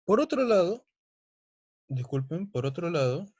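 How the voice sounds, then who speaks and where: A man's voice: fairly high pitch, very animated, measured pace, darkish tone, husky, highs cut off. A young man teaching a chemistry lesson, explaining step by step and often checking in with his students. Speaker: chemistry tutor. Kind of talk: recorded online lesson.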